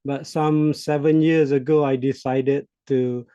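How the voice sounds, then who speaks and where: Mellow-voiced Malaysian man in his sixties. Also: medium pitch, level tone, unhurried, no echo, muffled recording. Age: 60s. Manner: reflective, with frequent hesitations and soft laughs. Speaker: CEO coach guest. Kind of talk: interview conversation.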